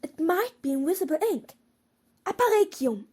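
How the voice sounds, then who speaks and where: A woman's voice: very high voice, sing-song, moderate pace, darkish tone. A woman playing an earnest, clever and careful schoolgirl witch in an audio drama, inquisitive and reasoning aloud. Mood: curious, deducing.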